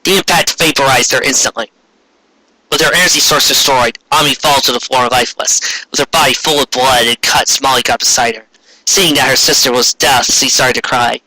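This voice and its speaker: A man's voice: mid-range voice, steady tone, brisk, pushed and bright, no echo, rough recording. A man reading fan fiction aloud as comic, dramatic narration in a theatrical manner. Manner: theatrical, performs many character voices.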